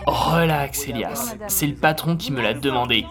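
Woman playing a low, brash sales colleague. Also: moderate pace, music in the background. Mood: dismissive, cynical. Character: pragmatic, cynical sales-driven office colleague Michel. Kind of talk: scripted audio-drama acting.

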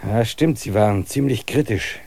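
A man's voice: on the low side, normal speed, vocal fry, a little mellow. A man in a classic radio play speaking earnestly, musing on dreams and reality in a philosophical tone. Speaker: main character and reflective narrator.

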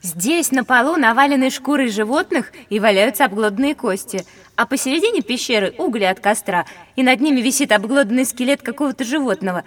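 Woman as a high-voiced, sensible young girl. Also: lively intonation, a little fast, hardly any pauses, close to an everyday voice. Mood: observant, sensible. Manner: matter-of-fact, teasing. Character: sensible, sharp-witted young girl, gently mocking. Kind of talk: children's educational audio drama.

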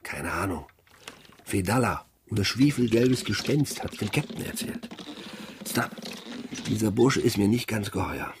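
An actor playing a blunt, inquisitive, slightly uneasy junior whaling mate in a radio drama. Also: male voice, fairly low pitch, lively intonation, a little slow. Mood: uneasy curiosity. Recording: clearly noisy sound-effects bed.

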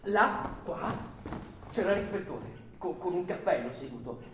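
An actor playing an anxious, over-polite bank clerk on trial in an Italian radio drama, agitated, insistent and deferential. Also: male voice, high voice, animated, measured pace, noisy background. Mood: excited, explaining. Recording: roomy, distant stage sound with music.

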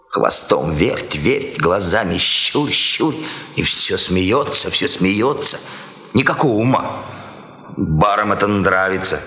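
An actor playing a garrulous peasant telling gossip over drinks in sly, folksy speech, in a radio dramatization. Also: male voice, low voice, fairly bright tone. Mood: mocking, gossipy. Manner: folksy, sly, drawn-out telling with repeated words. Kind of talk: dramatized literary storytelling in rustic folk speech. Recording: dull, echoey hall-like reverb.

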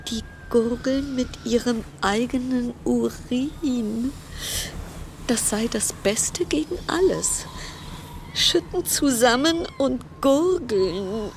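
A woman's voice: on the high side, slight background noise. A woman acting in a radio play as an anxious, sardonic quarantined passenger, delivering a brooding, dramatic interior monologue.

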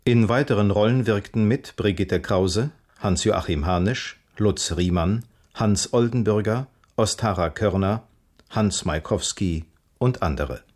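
A man with a fairly low voice makes a formal radio announcement. He reads from a script slowly and in a measured way, item by item. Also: darkish tone. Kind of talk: scripted credits reading.

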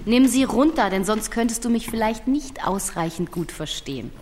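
A strict female schoolteacher in a radio drama, played by an actress, with a fairly high voice. She is dry, commanding and sarcastic, over faint room noise. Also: animated, normal speed, darkish tone, lightly acted. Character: brisk sarcastic female secondary-school teacher, strict about rules. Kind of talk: German radio play acting.

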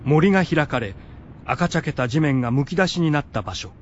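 A man's voice: on the high side, lively intonation, moderate pace, a little hiss or hum. A man narrating a scripted radio adventure drama. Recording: muffled, music behind.

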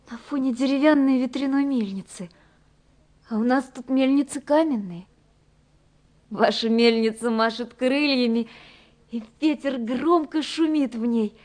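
A woman's voice: sits high, breathy edge. An actress playing a tender, dreamy young woman in love, reading her letters in a wistful, intimate way for a radio drama. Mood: wistful, hushed. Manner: wistful, intimate, softly wondering.

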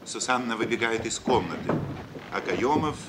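A man's voice: medium pitch, animated, normal speed. A man reading scripted stage action as the narrator of a 1950s radio play. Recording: very noisy old radio recording.

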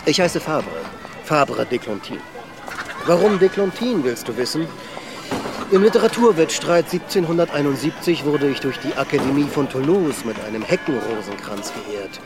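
An actor playing a talkative, self-satisfied, theatrical street portrait artist in a radio drama. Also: male voice, on the high side, animated, normal speed. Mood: boastful, chatty. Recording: music behind the voice.

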